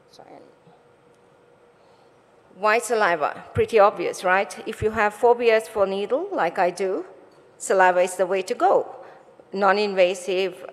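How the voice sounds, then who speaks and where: Woman scientist presenting in a reverberant hall. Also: mid-range voice. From Botswana, born in Sri Lanka. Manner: explanatory, asks rhetorical questions. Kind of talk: conference talk to an audience. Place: conference stage in a large hall.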